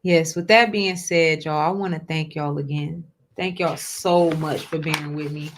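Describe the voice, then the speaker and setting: A woman's voice: sits low, fairly even intonation, moderate pace. A woman in her twenties hosting her own show, talking casually to viewers, often repeating herself and calling them y'all. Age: 20s. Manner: chatty, repeats words, addresses audience as y'all, asks okay?. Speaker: host. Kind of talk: casual solo talk to viewers.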